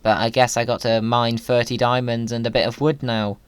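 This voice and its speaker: A man narrates his gameplay with silly, whimsical humour. His voice is smooth and clean, recorded close and dry. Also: medium pitch, level tone, brisk, dry recording. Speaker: video creator, gamer. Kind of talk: playful gaming commentary.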